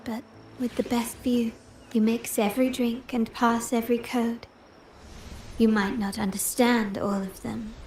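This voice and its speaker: A woman's voice: a little high, darkish tone, close to an everyday voice. An actress playing a poised, shrewd young noblewoman investigating in a video game, composed and formal. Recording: roomy sound with light music.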